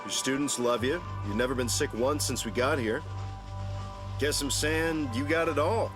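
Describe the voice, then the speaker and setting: A man's voice: medium pitch, normal speed, close to an everyday voice. A man as a laid-back teasing game character, music behind. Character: laid-back, teasing, blunt male friend. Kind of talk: naturalistic video game voice acting.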